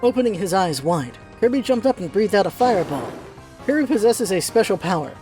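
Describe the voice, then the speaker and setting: A woman's voice: medium pitch, very animated, a little fast, mellow, soft background music. A woman reading scripted storybook narration as the narrator of a radio play.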